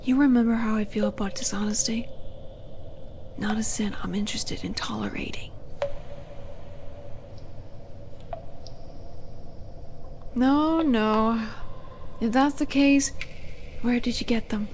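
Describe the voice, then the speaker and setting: A woman voicing a haughty, teasing female demon in a roleplay audio drama, with a flirty, sardonic and deliberate manner. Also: on the high side, darkish tone, slight background noise, close to an everyday voice. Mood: skeptical.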